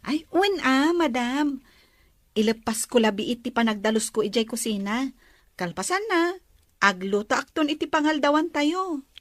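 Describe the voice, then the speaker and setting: An actress playing an eager, obedient young housemaid in a radio drama. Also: female voice, high-pitched, animated, moderate pace, no echo, clean studio sound.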